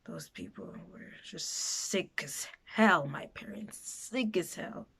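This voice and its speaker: A woman playing a frightened, bitter foster girl in a dramatic confessional monologue, halting and emotional in her delivery. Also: fairly low pitch, big pitch swings, vocal fry, fairly bright tone, breathy edge, full character voice. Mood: hushed, bitter. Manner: halting, emotional, breaking into whispers and raised outbursts.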